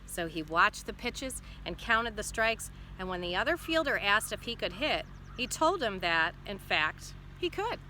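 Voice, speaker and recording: A woman's voice: medium pitch, big pitch swings, a little slow, few pauses, bright, forceful tone, a little hiss or hum. A woman teacher reading a picture book aloud to children expressively, with instructive asides and questions to check in.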